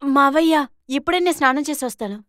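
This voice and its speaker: A high, quick female voice with a dry sound, playing a meek young bride acted by a woman. She is obedient and compliant, in cartoon moral-story style. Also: a bit bright, dry recording. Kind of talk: animated moral-story voice acting.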